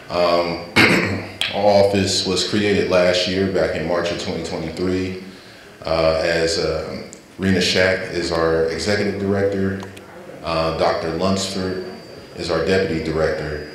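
A man in his thirties, a community relations coordinator, introducing himself haltingly into a handheld mic with many fillers and throat clearing. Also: low voice. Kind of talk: public introduction at a community meeting. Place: large indoor event hall. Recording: very echoey hall with crowd behind.

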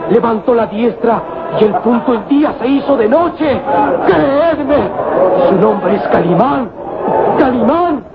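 A high-pitched, fervent desert servant. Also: male voice, lively intonation, slow pace, dull audio, full character voice. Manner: pleading, frantic proclaiming. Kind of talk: old radio serial acting. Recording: thin old radio recording over loud crowd.

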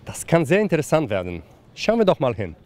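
A man's voice: fairly high pitch, huge pitch swings, fast. German guy in his 40s reporting to camera, voice really goes up and down. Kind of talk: piece to camera. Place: outdoors at a hotel. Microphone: handheld mic with windscreen.

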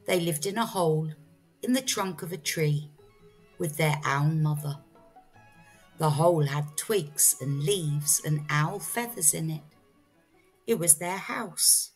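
A woman narrates a children's story very slowly. Her voice is husky with plenty of vocal fry, and music plays faintly behind her. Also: low voice. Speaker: storyteller and English teacher. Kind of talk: slow read-aloud storytelling for children.

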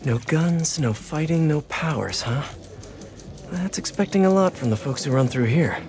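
An actor playing an earnest, quippy young superhero in a video game, talking in determined dialogue and self-narration. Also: male voice, on the high side, lively intonation, normal speed, darkish tone. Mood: wry, musing. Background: music.

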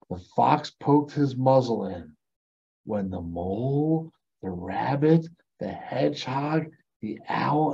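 A middle-aged American man reads a picture book to children at an unhurried pace. His voice is mellow and gravelly, and he pauses expressively and adds chatty asides. The audio is a little dull, as from a webcam. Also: medium pitch. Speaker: storyteller reading a picture book aloud. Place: small decorated indoor room.

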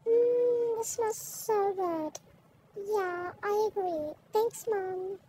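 A woman's voice: very high-pitched, drawn-out words, mellow, a little hiss or hum, voice pushed up, exaggerated voice, rough recording. A woman voicing a whiny, playful small child in a family role-play story. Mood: pleased.

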